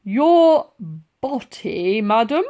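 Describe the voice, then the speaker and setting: Woman playing a blustering, drawn-out old sergeant major. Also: a little high, animated, dark tone, voice pushed up. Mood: suspicious, barking. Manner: barking, huffy, pompous, slow and emphatic. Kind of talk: children's storybook character voice.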